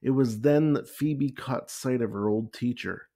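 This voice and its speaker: A man reading a children's picture book aloud with expressive, deliberate storytelling. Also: mid-range voice. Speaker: story time reader.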